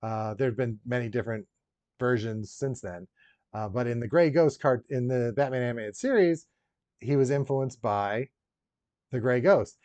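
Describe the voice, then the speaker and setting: A man's voice: mid-range voice, moderate pace, darkish tone. A man hosting a casual live stream as a toy collector, chatting with viewers in a rambling, joking way full of dry, teasing asides. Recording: roomy echo, dull lo-fi sound.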